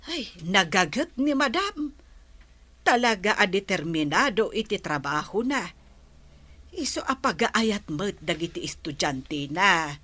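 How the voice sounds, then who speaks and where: An elderly Ilocano housemaid with a forward, bright voice. She speaks slowly and deferentially, explaining things like a devoted grandmother in a radio drama. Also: medium pitch, very animated, exaggerated voice. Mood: admiring, animated.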